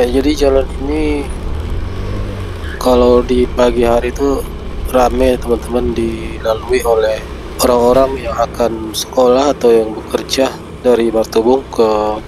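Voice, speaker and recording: Guy w a stuffy cold, chatty road vlog, mid pitch, traffic noise all around. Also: little pitch movement, measured pace, pauses often. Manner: chatty, keeps saying "friends" to viewers. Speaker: vlogger. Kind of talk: casual travel vlog narration. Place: outdoors on flooded city streets.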